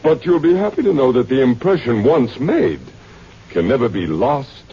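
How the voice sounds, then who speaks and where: An actor playing a suave, learned older scientist in a radio drama, formal, precise and smoothly condescending. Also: male voice, mid-range voice, big pitch swings, moderate pace. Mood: smug, didactic. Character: suave, learned old male scientist running a grand project. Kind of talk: old-time radio drama acting. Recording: muffled, noisy vintage radio recording.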